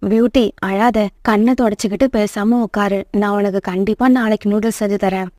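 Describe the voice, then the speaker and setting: Woman acting mother sparrow, mellow voice, caring, admonishing, children's cartoon, dry sound. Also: medium pitch, level tone, brisk, no room sound, close to an everyday voice. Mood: consoling.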